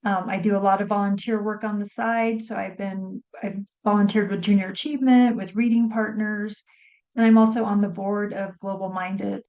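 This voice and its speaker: A woman introducing herself on a panel in a measured, formal way, with small fillers. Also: mid-range voice, fairly even intonation, mellow, muffled recording. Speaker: panel moderator.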